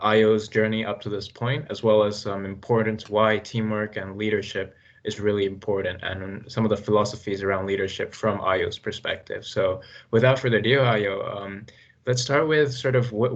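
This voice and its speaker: A man's voice: fairly low pitch, fairly even intonation, moderate pace. A man hosting an interview, introducing his guest with many hesitant "uh"s and "um"s. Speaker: podcast host. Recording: dull lo-fi audio.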